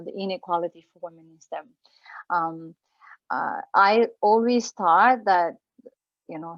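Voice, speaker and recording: A woman's voice: medium pitch, no room sound, lo-fi sound. A woman from Romania in her forties giving an earnest personal talk as a guest speaker at an online event, with many fillers and restarts.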